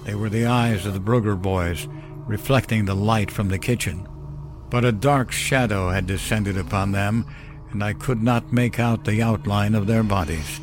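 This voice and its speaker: A man giving a scripted horror narration in a measured, solemn, deliberate way. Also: fairly low pitch, steady tone. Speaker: narrator of a horror fiction podcast. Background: music bed.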